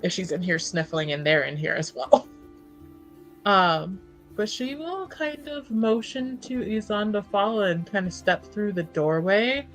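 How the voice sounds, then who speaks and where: A woman casually improvising her character's actions as a tabletop player, informal and halting. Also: mid-range voice, expressive, faint music behind, rough recording.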